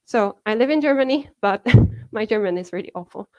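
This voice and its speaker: A woman's voice: mid-range voice, animated, brisk, a little mellow, dull audio. Chatty Japanese woman giving a talk. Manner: chatty, many fillers like "you know" and "like", laughs often. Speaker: conference speaker. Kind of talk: tech conference talk. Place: conference hall.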